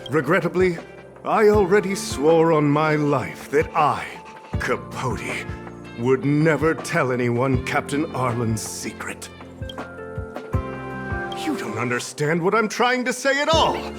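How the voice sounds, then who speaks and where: A man's voice: fairly high pitch, lively intonation, slow. A man voicing a vain, theatrical professor in a video game, pompous and grandiloquent. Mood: pompous, flustered. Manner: pompous, grandiloquent, hesitating when cornered. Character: vain, theatrical male professor adored by fans. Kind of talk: theatrical game character dialogue. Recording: game dialogue with music behind.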